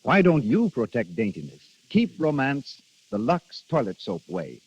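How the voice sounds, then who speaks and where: Man, 1930s commercial reader, animated intonation, slightly rough voice, dull archival recording. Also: medium pitch, measured pace. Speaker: advertising announcer. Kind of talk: 1930s radio commercial copy reading.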